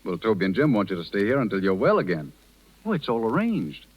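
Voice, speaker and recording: An actor playing a calm, reassuring small-town doctor in a 1930s radio drama. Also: male voice, fairly low pitch, quick pace. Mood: amused. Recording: muffled 1930s radio broadcast, faint music.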